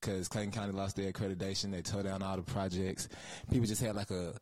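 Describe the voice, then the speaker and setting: Male interview guest, Atlanta Southern accent, lowish voice, dry recording, slangy and profane rambling storyteller. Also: little pitch movement, quick pace, darkish tone, no echo. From Georgia, USA, Atlanta area. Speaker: guest, music creative. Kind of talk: casual interview chat.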